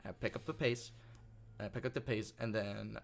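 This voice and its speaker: A young man co-hosting a podcast, telling a story in casual, animated chat with swearing and restarts. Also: on the low side, moderate pace, creaky, a little hiss or hum. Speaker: podcast co-host.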